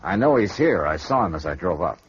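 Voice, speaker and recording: An actor playing a wry, tough insurance investigator who narrates and questions people in a 1950s radio noir drama. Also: male voice, low-pitched, very animated, a little fast, breathy edge, heavily acted. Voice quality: dry, wry, easygoing. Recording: dull old radio broadcast.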